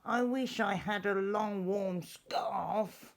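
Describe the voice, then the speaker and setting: A man voicing a sad, chilly giraffe in a children's story, drawn-out and plaintive. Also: high-pitched, gravelly, voice pushed up, heavily acted.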